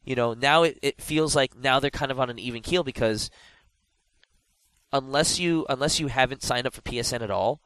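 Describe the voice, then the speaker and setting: A man with a stuffed nose, co-hosting a casual gaming podcast and talking in an animated, rambling way with many restarts. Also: mid-range voice, moderate pace, no echo, rough recording. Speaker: podcast co-host.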